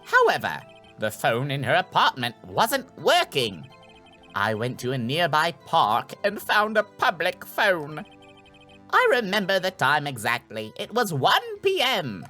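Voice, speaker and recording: A man's voice: a little high, huge pitch swings, a little slow, pushed and bright, faint music behind, heavily acted. A man voicing a jittery salesman on the witness stand in a video game, overdramatic and emphatic. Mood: dramatic. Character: jittery, high-voiced male salesman testifying as witness. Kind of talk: dramatic video game dubbing.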